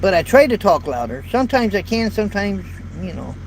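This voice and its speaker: A man's voice: high voice, sing-song, a little fast, rough recording. A man in his fifties, a truck driver, chatting casually to viewers from his parked truck cab in a folksy, rambling way. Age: 50s. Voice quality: light voice. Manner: rambling, folksy, repeats words. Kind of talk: casual live chat to viewers. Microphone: built-in phone or camera mic. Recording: faint road and traffic noise.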